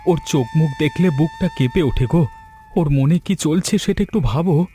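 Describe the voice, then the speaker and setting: A man's voice: on the high side, lively intonation, moderate pace, warm tone, lightly acted. An actor playing a poor, hardworking farmer father in a cartoon folk tale, earnest and plaintive, with wounded dignity. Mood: weary, hurt.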